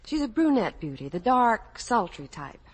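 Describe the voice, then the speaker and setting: A woman's voice: a little high, big pitch swings, moderate pace, breathy edge. A woman working as a Hollywood reporter, in scripted 1950s radio promotional chat.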